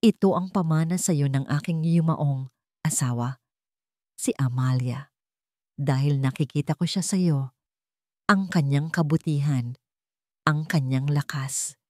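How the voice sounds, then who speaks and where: A woman's voice: deep voice, a little slow, pauses often, a little mellow, dry recording, studio quality. Woman voicing a grave, remorseful old patriarch. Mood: solemn. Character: remorseful, solemn old male hacienda patriarch. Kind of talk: dramatic audio storybook.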